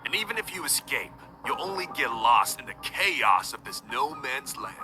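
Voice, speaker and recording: A man's voice: medium pitch, very animated, normal speed, natural voice. A man voicing a playful, teasing young henchman in a video game, with a theatrical delivery. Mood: teasing, menacing. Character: playful young henchman twin guarding a captive, cheeky. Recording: game dialogue with music behind. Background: music.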